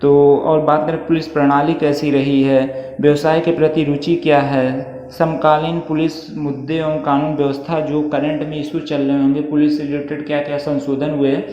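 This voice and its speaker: High-pitched man, echoey room. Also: flat delivery, moderate pace, hardly any pauses, dark tone. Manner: reassuring, repetitive check-in phrases. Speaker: exam coaching YouTuber. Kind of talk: informal explanatory lesson, reading through a syllabus. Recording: echoey reverberant room, muffled, faint noise.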